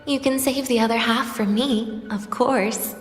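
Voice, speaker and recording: An actress playing a wistful young student in a game drama, bitter yet tender, speaking softly and lingeringly, at times slipping into a whisper. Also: female voice, on the high side, fairly even intonation, lightly acted. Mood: tender, gentle. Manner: soft, slow, lingering, at times breaking into whispers. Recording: echoey long reverb with music behind.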